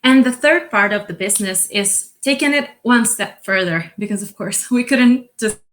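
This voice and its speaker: A woman's voice: medium pitch, moderate pace. Woman presenting her company. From Costa Rica. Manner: earnest, explanatory, with frequent fillers and restarts. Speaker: company co-founder and CEO. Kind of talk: business presentation.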